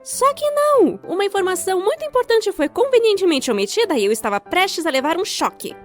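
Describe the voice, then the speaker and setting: Animated young Brazilian woman telling stories. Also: very high-pitched, a little fast, soft background music. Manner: theatrical, expressive, wry. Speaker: storyteller, fan-dub voice actor. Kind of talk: scripted comedic storytime narration.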